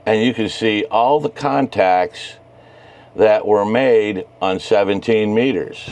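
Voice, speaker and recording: A man leads an informal how-to in a very slow, patient delivery. His voice sits a little low and sounds smooth and clear. He comes across as an encouraging hobbyist who is keen on his subject. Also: a little mellow. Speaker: amateur radio hobbyist presenter. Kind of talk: informal screen-share tutorial.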